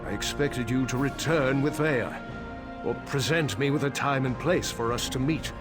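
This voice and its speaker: An actor playing a stern, haughty dark elf councilor and father in a fantasy video game, speaking formally and deliberately. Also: medium pitch, loud music behind the voice, lightly acted. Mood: demanding, displeased.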